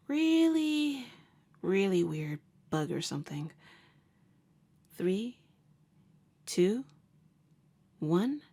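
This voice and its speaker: A woman's voice: lowish voice, dark tone, full character voice. A woman playing a jaded, sardonic vampire hunter who works as a nurse, talking in a wry, slangy way in an ASMR roleplay. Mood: steady, coaxing. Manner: wry, casual, slangy, unhurried. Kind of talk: ASMR roleplay audio drama.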